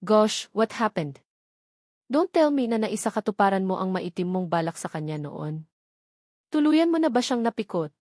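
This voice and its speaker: A woman's voice: medium pitch, very animated, moderate pace, a little mellow, no echo, clean studio sound. A woman narrator voicing an incredulous, teasing young woman friend in a storybook, exclaiming and questioning with a Filipino accent. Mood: shocked, prying.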